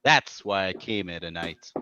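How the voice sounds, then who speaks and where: An actor playing a blustering, angry crooked stockbroker in a live old-time radio drama. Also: male voice, sits low, a little slow, dull audio, background noise. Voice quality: blustering, tough-talking. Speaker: stage actor in radio play.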